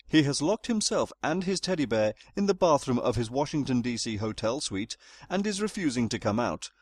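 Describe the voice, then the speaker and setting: A man's voice: medium pitch, sing-song, normal speed, no echo, studio quality. A man playing a very proper British narrator in a comedy audio drama, dryly pompous and wordy. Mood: storytelling.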